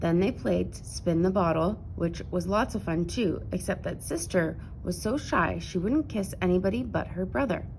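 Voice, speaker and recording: A woman narrating a children's picture book aloud in an expressive storytelling style. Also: fairly low pitch, normal speed, gravelly, hardly any pauses, slight background noise, rough recording.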